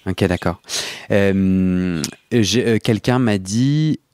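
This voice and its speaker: A man in his late thirties with a Parisian accent, hosting an intimate podcast interview in a reflective, animated, casual way. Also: fairly low pitch, extremely slow, smooth. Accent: Parisian French. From mainland France. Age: 30s. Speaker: podcast host.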